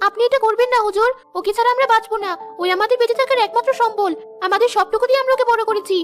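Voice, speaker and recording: A poor village mother in a cartoon, her voice raised very high and quick as she pleads to keep her child, voiced by a woman narrator. Music sits behind. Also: steady tone. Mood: pleading. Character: poor, loving adoptive mother. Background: background music.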